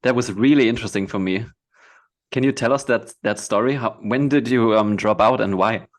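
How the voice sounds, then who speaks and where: A man hosting a podcast, asking his guest questions in a relaxed, casual way with frequent laughs. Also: lowish voice, moderate pace. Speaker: podcast host. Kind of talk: casual interview chat.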